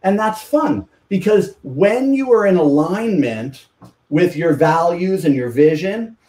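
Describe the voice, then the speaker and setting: A man's voice: on the high side, warm tone, far from the mic. Male podcast guest, communication coach type, explanatory; off-mic, roomy sound. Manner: explanatory, teaching with examples. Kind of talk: podcast interview.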